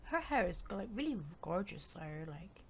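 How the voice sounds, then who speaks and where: A woman's voice: mid-range voice, sing-song, normal speed, breathy edge. A woman giving casual gameplay commentary as a streamer, playful, reactive and rambling. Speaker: game streamer.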